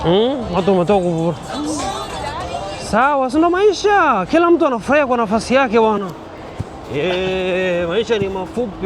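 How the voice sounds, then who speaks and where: A Tanzanian man with a Tanzanian accent, chatting and joking to viewers as he films a walking vlog on a busy city street. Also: sits high. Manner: joking, chatty, addresses viewers, laughs often. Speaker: vlogger. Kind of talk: casual walking vlog commentary. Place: busy city street outdoors at night celebration. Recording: street recording with loud crowd and music.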